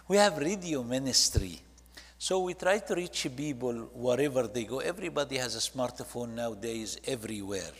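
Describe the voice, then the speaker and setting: Egyptian guy giving a church slideshow talk, kinda slow and halting english, big echoey hall. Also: mid-range voice, vocal fry, a bit bright. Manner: halting, with short phrases and non-native English grammar. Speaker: church ministry leader presenting to a congregation. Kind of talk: presentation talk narrating photo slides.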